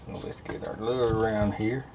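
A middle-aged man giving a relaxed, folksy running commentary as he demonstrates a hands-on craft at his workshop bench. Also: fairly low pitch, normal speed. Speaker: lure painter demonstrating. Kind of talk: casual how-to demonstration. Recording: noisy workshop with airbrush spray.

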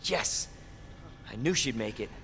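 An actor playing an earnest, concerned young rookie police officer in a video game cutscene. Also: male voice, mid-range voice, lively intonation, unhurried, a bit bright. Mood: relieved. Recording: clear background noise, game ambience.

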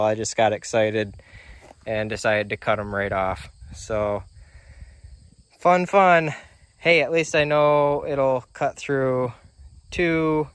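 A man's voice: medium pitch, clean tone, no room sound. A middle-aged man walking through his homemade sawmill outdoors, talking casually into a handheld phone and laughing off his own mistakes. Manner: relaxed, self-deprecating, chuckles at his own mistakes. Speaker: DIY sawmill builder. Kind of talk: casual walkthrough vlog. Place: outdoors in a grassy yard by a sawmill trailer. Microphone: handheld phone camera, first-person.

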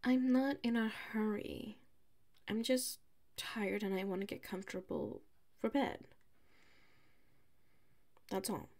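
Woman acting a slow-talking, flustered tsundere classmate. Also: mid-range voice, vocal fry, a little mellow. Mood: flustered, defensive. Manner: halting, huffy, defensive, slips into muttered whispers. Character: flustered, defensive tsundere female classmate with a crush. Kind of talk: ASMR audio roleplay.

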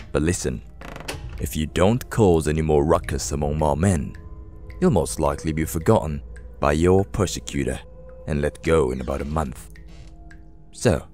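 A man voicing a calm, firm military captain, measured and coaxing, in game character style. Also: a bass voice.

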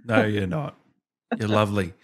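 A man's voice: fairly low pitch, lively intonation, normal speed. A man in his thirties hosting a casual interview, asking questions in a chatty way from a small studio. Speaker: interview host. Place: small indoor home studio. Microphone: desk microphone with headset.

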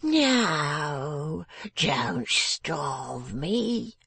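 A woman's voice: low voice, animated, extremely slow, gravelly, breathy edge, dry recording, pitched above the performer's own voice, full character voice. Woman doing an abject, whimpering drunken man. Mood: whimpering, pleading. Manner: pleading, rueful, faltering. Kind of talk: dramatised storybook reading.

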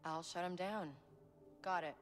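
A woman's voice: lowish voice, steady tone, a little slow, natural voice. An actress playing a wry, guarded young woman scoundrel in a video game. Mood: determined.

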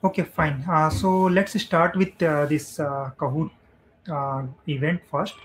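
A man hosts an online meetup in a halting, informal way. He gives casual instructions to attendees, and there is faint noise on the meeting audio. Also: fairly high pitch. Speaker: meetup host.

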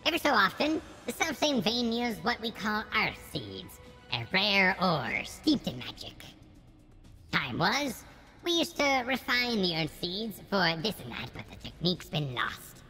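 A man voicing a gruff old male dwarf mining chief with a folksy brogue, saying aye and lad. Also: high voice, very animated, a little slow, pushed and bright, long reverb tail, soft background music, voice pushed up, exaggerated voice. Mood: grumbling.

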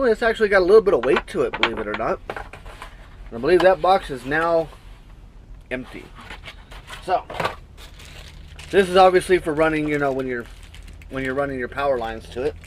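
Chatty trucker rambling through an unboxing. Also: male voice, fairly high pitch, background noise. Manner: rambling, chatty, thinks aloud with many fillers. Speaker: trucker reviewing gear. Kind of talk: casual unboxing review. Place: small truck sleeper cab, indoors. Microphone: action camera on the cab wall, built-in mic.

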